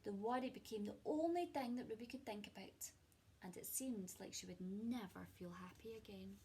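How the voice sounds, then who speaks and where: A woman reading a children's picture book aloud in an expressive storytelling way, as a teacher speaking to young listeners. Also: mid-range voice, normal speed, a little hiss or hum.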